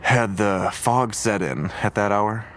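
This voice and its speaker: A man's voice: on the low side, slow. An actor playing a seasoned, world-weary male homicide detective in an audio drama, asking terse, probing questions. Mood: grave, businesslike.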